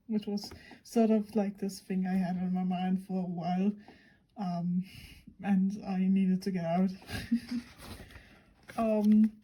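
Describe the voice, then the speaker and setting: A woman with a hoarse voice from a cold, chatting casually and giggling as she flips through her sketchbook. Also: mid-range voice, dark tone, rough recording. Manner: rambling, giggly, many ums. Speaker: VTuber artist. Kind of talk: casual sketchbook tour chat.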